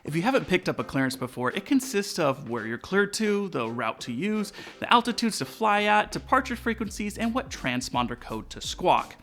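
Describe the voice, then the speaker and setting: Lively man presenting a tutorial. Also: high voice, moderate pace, few pauses. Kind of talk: scripted explainer narration. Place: small indoor studio set. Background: background music.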